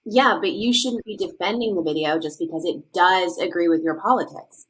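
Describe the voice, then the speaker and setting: A woman playing a skeptical, assertive high school student in a classroom drama, arguing in a reasoning, challenging way. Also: normal speed, fairly bright tone, slightly rough, low-quality recording. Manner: argumentative.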